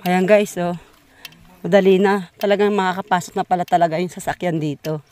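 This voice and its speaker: A woman's voice: lowish voice, steady tone, a little fast, darkish tone. A woman chatting casually to her viewers while walking a dirt road outdoors, filming on a handheld phone, a little out of breath. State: a bit out of breath. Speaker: vlogger. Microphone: phone held while walking.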